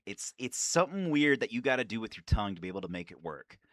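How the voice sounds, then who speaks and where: A man hosting a casual, rambling fan video in an excitable, self-deprecating way. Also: lowish voice, fast talker, no echo. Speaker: amateur voice-acting enthusiast, video host.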